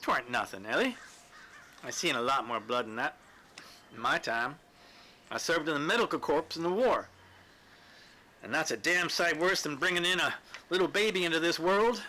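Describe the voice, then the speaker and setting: A man's voice: a little high, expressive, normal speed, long pauses, sharp tone, pitched above the performer's own voice. A man reading a storybook role as a plain-spoken, shrewd rustic war veteran with a folksy, blunt way of talking. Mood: boastful, brisk. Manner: folksy, dialect-laden, blunt. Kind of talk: storybook character dialogue.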